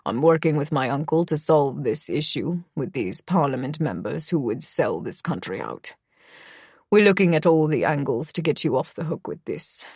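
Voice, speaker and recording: Audiobook role: female narrator playing a middle-aged king and stepdad, deep, gruff, Germanic-accented, tired but kind. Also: dry recording, clean studio sound, full character voice. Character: gruff, kingly middle-aged stepfather, warm and sighing.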